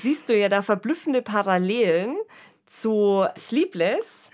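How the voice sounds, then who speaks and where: A woman's voice: medium pitch, animated, slow, darkish tone. A woman co-hosting a podcast, talking casually and analytically, often adding little tag questions. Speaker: podcast co-host. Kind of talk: casual discussion.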